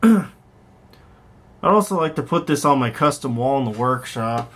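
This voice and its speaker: A man with a medium-pitched voice talks casually as a hobbyist vlogger. He rambles conversationally through what he is showing, unscripted, correcting himself along the way. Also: expressive, unhurried, fairly bright tone. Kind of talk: casual unscripted show-and-tell commentary on online listings.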